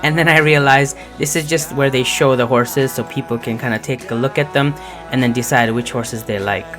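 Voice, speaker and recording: A young man with a hoarse voice from a cold, chatting loosely through a travel vlog with lots of fillers. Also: medium pitch, fairly even intonation, moderate pace, over music. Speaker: vlogger. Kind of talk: casual travel vlog narration.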